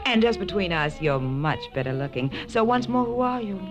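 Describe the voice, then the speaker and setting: An actress playing a cool, teasing femme fatale in a 1940s radio crime drama. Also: female voice, fairly low pitch, sing-song, moderate pace, soft background music. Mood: coy, threatening. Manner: coy, self-possessed, teasing. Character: sultry, cool, gun-toting femme fatale.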